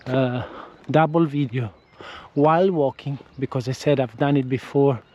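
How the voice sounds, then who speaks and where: A man's voice: mid-range voice, steady tone, normal speed, darkish tone, highs cut off. A man with an Italian accent, a non-native English speaker, vlogging casually while walking outdoors, often restarting and correcting himself. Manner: hesitant, frequent restarts and self-corrections. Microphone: action camera with windscreen.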